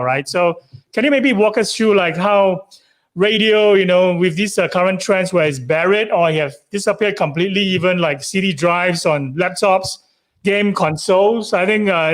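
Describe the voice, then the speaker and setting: A man. He hosts an interview show, building long conversational questions in a halting, wordy way with many small tag phrases. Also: high voice. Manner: halting, wordy, often tagging phrases with "you know" and "right". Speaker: podcast host interviewing a guest.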